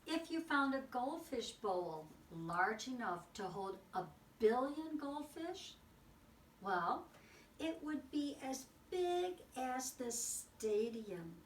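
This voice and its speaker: Slow-paced woman reading aloud, roomy distant sound. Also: on the high side. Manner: expressive, exclaiming, with dramatic pauses and asides. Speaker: teacher reading a picture book aloud. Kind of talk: read-aloud storytelling for children. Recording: roomy, distant mic with faint noise.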